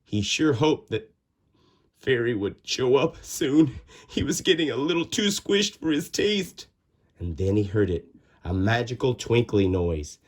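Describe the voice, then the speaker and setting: Slow, animated man reading a children's story. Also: mid-range voice. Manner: expressive, dramatic pauses and drawn-out words. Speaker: storybook reader. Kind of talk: animated children's read-aloud narration.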